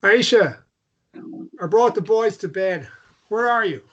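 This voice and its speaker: A man's voice: high-pitched, lively intonation, slow pace, exaggerated voice. Muffled, hesitant American guy, played by a man. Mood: warm. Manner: hesitant, stumbling over repeated words. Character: awkward, gently joking American man falling in love. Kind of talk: naturalistic romance drama table read.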